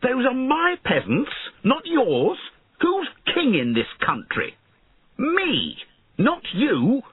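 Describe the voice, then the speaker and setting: Man as a spiteful boy king, high strained shouts, imperious, storybook drama, tinny phone-like audio. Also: huge pitch swings, extremely slow, breathy edge, dry recording, full character voice. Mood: angry, defiant. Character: cruel, angry young boy king.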